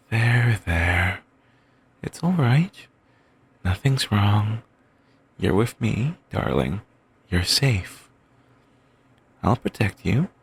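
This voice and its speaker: A man playing a gravelly, doting, possessive boyfriend. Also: full character voice. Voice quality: soft, soothing, murmured. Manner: coaxing, endlessly affectionate, quietly controlling. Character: doting possessive young male boyfriend, obsessive. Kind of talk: ASMR audio roleplay. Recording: home mic, low-quality.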